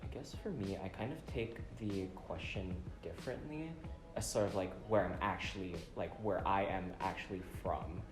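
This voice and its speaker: A man's voice: deep voice, moderate pace. A young man from Saipan, speaking in an easygoing way about where he comes from. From Saipan, Northern Mariana Islands. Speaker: student panelist. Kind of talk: casual personal testimony. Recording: roomy room with background music.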